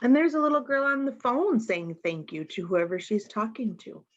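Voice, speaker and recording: A woman's voice: on the high side, animated, normal speed, lo-fi sound. A woman reading a picture book aloud to young children, expressively and addressing them directly with questions. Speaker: storytime reader.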